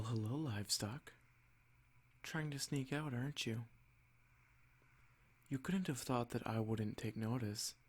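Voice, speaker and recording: A man voicing an arrogant, possessive male vampire in a measured, softly taunting ASMR roleplay monologue. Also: medium pitch, husky.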